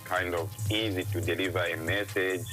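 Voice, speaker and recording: A man's voice: low-pitched, steady tone, moderate pace. A man from Zambia, a musician guest chatting reflectively in a radio interview, often saying "you know". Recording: music playing behind the voice.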